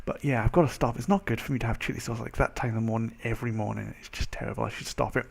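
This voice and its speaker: A young man with a mild Welsh accent, chatting casually as a vlogger in a rambling, animated, self-interrupting way. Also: medium pitch, racing, gravelly. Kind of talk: casual chat to camera.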